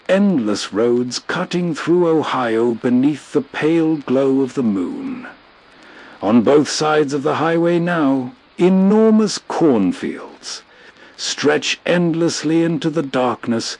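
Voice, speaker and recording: Casting: male host for moody scripted storytelling, slow and measured, slightly high pitch. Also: a little mellow. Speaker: host and narrator. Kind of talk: slow atmospheric scripted narration.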